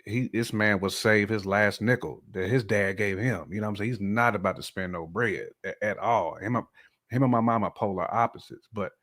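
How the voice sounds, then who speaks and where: A man's voice: on the low side, a little fast, fairly bright tone. A man talking casually as a podcast guest, in a colloquial, slangy way with frequent check-in phrases. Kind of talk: casual chat, telling personal views.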